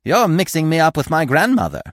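A man voicing a chatty, fussy, excitable squirrel in a storybook, gushing and emphatic. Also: fairly high pitch, brisk, no echo, studio quality, pitched above the performer's own voice. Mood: chatty, sociable.

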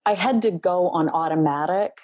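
Soft-spoken earnest woman, dark dry voice. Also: lowish voice, moderate pace, no echo. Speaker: guest, relationship coach. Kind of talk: heartfelt podcast interview.

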